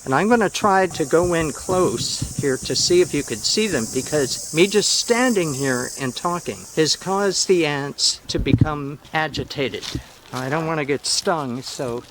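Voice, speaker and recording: A woman's voice: low-pitched, lively intonation, a little slow. A woman in her sixties giving an informal, emphatic nature explanation to camera, like a farm vlogger telling a story. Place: outdoors among trees on a farm. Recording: clear outdoor background noise. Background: outdoor ambience.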